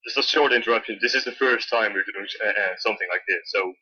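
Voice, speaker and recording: A man with a slightly low, rough-edged voice chats casually as co-host. He talks at a brisk pace. The sound is a little dull and lo-fi. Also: sharp tone. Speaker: co-host introducing the reading. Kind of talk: casual chat.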